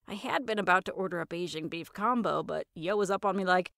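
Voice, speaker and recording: Expressive woman narrator, dry close sound. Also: lowish voice, brisk, gravelly, no room sound. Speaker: host and narrator of a fiction podcast. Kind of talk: expressive first-person story narration.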